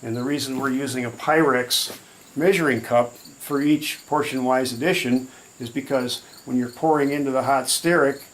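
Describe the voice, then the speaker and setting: A man's voice: mid-range voice, steady tone, normal speed, a little hiss or hum, rough recording. A man explaining a hands-on process step by step in an informal, matter-of-fact demonstration style. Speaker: hobbyist demonstrator.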